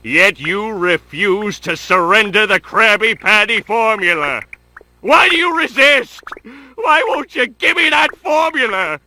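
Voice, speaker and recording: Piercing scheming tiny villain. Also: male voice, high-pitched, animated, unhurried, bright, forceful tone, dry recording, exaggerated voice. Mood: menacing, exasperated. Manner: menacing, theatrical, pompous. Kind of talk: cartoon video game acting.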